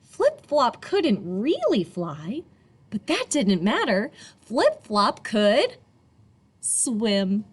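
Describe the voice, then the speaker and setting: A woman reading a picture book aloud to children expressively, in a teacherly way, pausing for young listeners. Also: a little high, huge pitch swings, husky.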